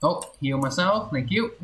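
A woman game streamer chatting casually and playfully with viewers while playing on a livestream. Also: mid-range voice. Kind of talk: casual livestream gameplay chat. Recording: roomy echo, faint background noise.

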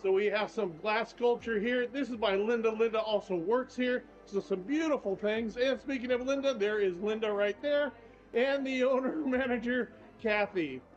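A man's voice: very high-pitched, moderate pace. Chatty older man giving a walking tour. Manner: chatty, upbeat, repeats "very, very". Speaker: travel vlogger and tour guide. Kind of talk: casual walking tour narration. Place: shops and streets of a small town, indoors and out. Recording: slightly dull sound, faint music behind.

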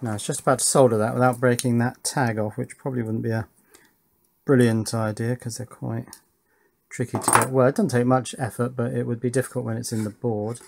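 A man with a mid-pitched voice presents hands-on electronics work in a casual way. He talks as if thinking aloud while he works indoors at a bench. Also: level tone, brisk, gravelly, a little hiss or hum. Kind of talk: casual hands-on build commentary. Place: indoors at a workbench.

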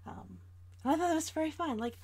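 A woman's voice: high-pitched, fast, a little mellow. An American woman of about forty chatting casually and informally to viewers as the host of an art livestream. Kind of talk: casual livestream chat while drawing.

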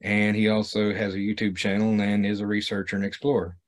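Middle-aged male host and explorer, lowish voice, plain-spoken, halting delivery to camera. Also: flat delivery. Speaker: video series host and explorer. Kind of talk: vlog narration to camera.

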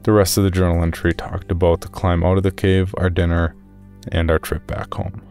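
A man with a very low, gravelly voice narrates a first-person journal story. His delivery is that of an audio storyteller, with music playing clearly behind him. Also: flat delivery, brisk, a little mellow.

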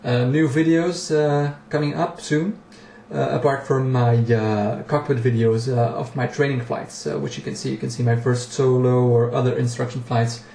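A man's voice: mid-range voice, fairly even intonation, normal speed, creaky, dark tone, reverberant, a little hiss or hum. A native Dutch-speaking man, a home cockpit builder giving a casual walkthrough video, talking with frequent fillers and restarts, recorded on an action camera in a small home room. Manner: hesitant, many fillers and restarts.

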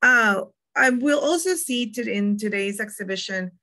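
A young woman with a Puerto Rican accent walks listeners through a museum tour. Her voice is bright and forward, at an ordinary middle pitch. She talks in a chatty, easygoing way, full of ums and quick restarts. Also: animated. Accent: Puerto Rican Spanish. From Puerto Rico. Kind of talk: presenting a virtual museum tour.

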